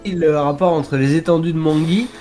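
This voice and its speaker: A man's voice: a little high, fairly even intonation, a little fast, warm tone, soft background music. A man playing in an online tabletop game, chatting casually and thinking aloud. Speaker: player.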